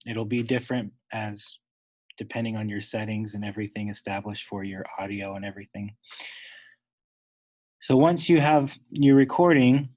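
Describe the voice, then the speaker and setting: A man giving an unscripted, instructional step-by-step software tutorial, talking wordily and correcting himself as he goes. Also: medium pitch, rough recording. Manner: instructional, wordy, with self-corrections.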